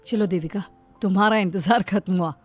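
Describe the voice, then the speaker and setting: A woman voicing a conservative, admonishing village man in an animated family story. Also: mid-range voice, fairly quick, faint music behind, natural voice. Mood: pleading. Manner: admonishing, insistent. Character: conservative village husband and stern menfolk, scolding and pleading.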